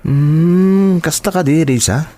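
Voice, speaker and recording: A man's voice: fairly high pitch, slow pace, warm tone. A man with an Ilocano accent playing an earnest, respectful adult son in an audio drama. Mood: hopeful. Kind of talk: Ilocano radio-style drama acting.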